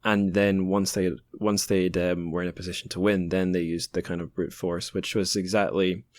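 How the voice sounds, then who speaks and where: A man's voice: low voice, flat delivery, normal speed, darkish tone, no echo, clean studio sound. A man hosting a podcast in casual interview chat, informal and a little self-deprecating. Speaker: podcast host.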